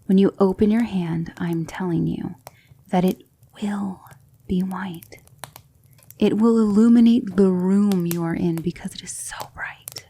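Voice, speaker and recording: A woman playing a gentle, patient demon mentor in an ASMR roleplay, coaxing her student with soft, deliberate instruction that often drops toward a whisper. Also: mid-range voice, fairly even intonation, husky. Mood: hushed, coaxing. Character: gentle female demon mentor teaching magic, patient, reassuring.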